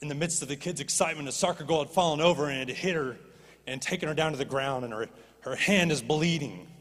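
A man preaching a sermon as a pastor, animated and rising to impassioned pleading. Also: fairly high pitch, fast. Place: church stage. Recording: long reverb of a church hall.

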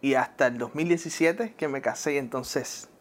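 A man's voice: medium pitch, moderate pace. Chatty Puerto Rican man, frequent laughs. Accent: Puerto Rican Spanish. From born in New Jersey, raised in Puerto Rico. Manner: hesitant, many "¿verdad?" tags, laughs often, mixes in English. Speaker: guest. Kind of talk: casual interview.

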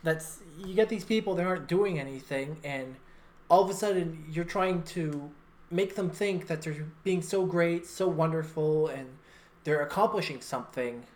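A woman's voice: deep voice, moderate pace, darkish tone. A woman co-hosting a podcast, chatting casually and giving her opinions in a blunt, sardonic manner. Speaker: podcast co-host.

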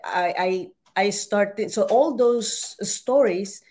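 A woman from El Salvador with a Salvadoran accent, a Spanish native speaker, telling her story as an author and journalist in an interview, halting with many restarts. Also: medium pitch, darkish tone.

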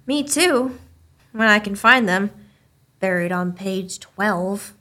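A woman's voice: mid-range voice, lively intonation, slow, pushed and bright. A woman playing an earnest, inquisitive small-town newspaper reporter in a radio play, in a conversational style. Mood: wry, wistful.

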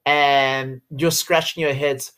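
A man's voice: mid-range voice, level tone, slow pace, sharp tone, clean tone. Rambling young Australian man. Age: 20s. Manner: rambling, heavy use of "you know" fillers. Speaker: podcast host, sales rep. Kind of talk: casual monologue.

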